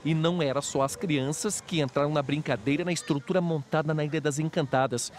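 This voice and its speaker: A man narrating a TV news report about a beach event in a quick, upbeat reporter style. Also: fairly high pitch, steady tone.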